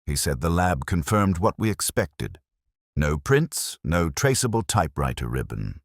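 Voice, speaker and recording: Very deep, brooding detective played by a man. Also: sing-song, gravelly, dry recording, clean studio sound, heavily acted. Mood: dry, wry. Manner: measured, literary, brooding. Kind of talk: scripted first-person mystery narration.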